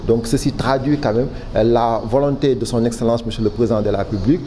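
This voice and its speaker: A man with a Senegalese accent giving formal interview answers as a project official, halting often and repeating words. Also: medium pitch, warm tone. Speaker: official spokesman for a public waste management project. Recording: clearly noisy background ambience.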